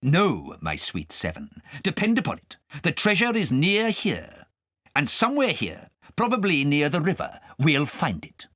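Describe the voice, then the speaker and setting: A man's voice: fairly high pitch, wildly swooping pitch, a little slow, fairly bright tone, dry recording, studio quality, pitched above the performer's own voice, full character voice. A man voicing a grandiose wizard leader in a storybook, declamatory and coaxing, drawing out his phrases. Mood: insistent, confident. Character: Abner, grandiose male wizard leading a coven.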